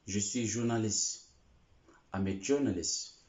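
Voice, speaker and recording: Slow, deep-voiced man drilling phrases. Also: a little mellow. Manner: slow, deliberate, repeats each phrase three times. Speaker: language teacher. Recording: echoey, dull lo-fi room.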